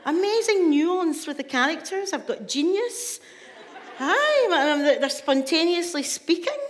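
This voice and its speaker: A Scottish woman voicing a boastful male taxi driver who is proud of his old novel, in her own bright voice. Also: very high-pitched, sing-song, unhurried, slight background noise, voice pushed up, heavily acted. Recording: long reverb of a big hall.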